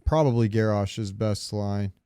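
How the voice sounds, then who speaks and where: A man's voice: on the low side, unhurried, dark tone, clear, resonant tone, no room sound, clean studio sound. A man co-hosting a casual gaming podcast, chatting informally and giving opinions freely. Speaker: podcast co-host.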